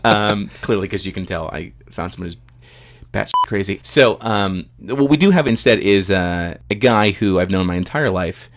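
A man's voice: sits low, animated, moderate pace, background noise. A man hosting a podcast, chatting casually as he interviews a guest. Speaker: podcast host.

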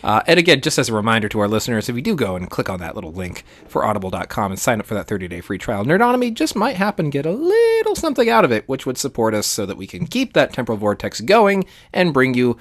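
A man's voice: medium pitch, huge pitch swings, fast, hardly any pauses, no echo. A man co-hosting a podcast, explaining history in an enthusiastic, conversational way. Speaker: podcast co-host.

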